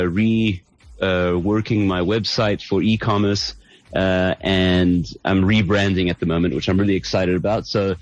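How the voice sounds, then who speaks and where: Low-voiced South African man, muffled line. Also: no echo. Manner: thoughtful, hesitant, many restarts and fillers. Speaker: band lead singer, guest. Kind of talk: casual interview chat.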